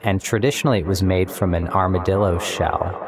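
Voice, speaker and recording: Low-voiced man, thoughtful, music behind. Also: a little fast. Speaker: composer and musician interviewed about his work. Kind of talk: reflective interview talk.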